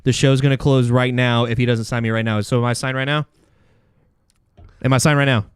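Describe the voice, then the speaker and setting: A man's voice: medium pitch, fairly even intonation, a little fast, smooth, dry recording. Young male host, jokey and playful, clean resonant voice, dry close recording. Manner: jokey, teasing, laughs often. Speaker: podcast host. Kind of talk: casual joking chat.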